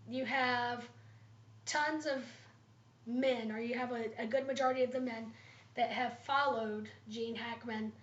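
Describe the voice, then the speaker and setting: Slightly raspy woman, echoey lo-fi recording. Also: a little high, steady tone. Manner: thoughtful, with frequent ums and pauses. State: slightly raspy from pollen allergies. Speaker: student presenter. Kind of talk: class presentation, leadership analysis. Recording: roomy, dull lo-fi recording.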